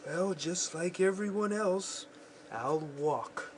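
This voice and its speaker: A patient, serious father played by a man in a homemade school radio play. He explains things slowly and deliberately in a slightly high voice, with faint noise behind him. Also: lively intonation. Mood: firm. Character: calm, patient father in a 1950s family. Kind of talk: school radio drama, scripted reading. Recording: faint background noise, home recording.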